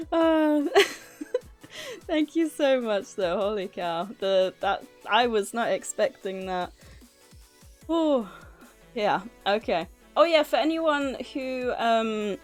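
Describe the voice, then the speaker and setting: A woman livestreamer chatting casually with her viewers in a flustered, nervous, giggly and effusively thankful manner. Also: mid-range voice. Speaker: livestreamer and author hosting a stream. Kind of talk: casual live chat with viewers. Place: livestream, indoors at a computer.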